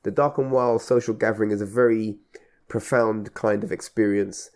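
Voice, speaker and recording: Low-pitched man in reflective conversation. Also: steady tone, moderate pace, a little mellow. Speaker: radio show host and event organiser.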